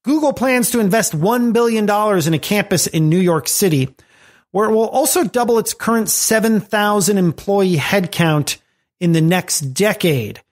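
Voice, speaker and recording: A man's voice: sits high, moderate pace. A man hosting a podcast, reading scripted commentary with wry asides. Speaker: podcast host. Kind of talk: scripted commentary on tech and music.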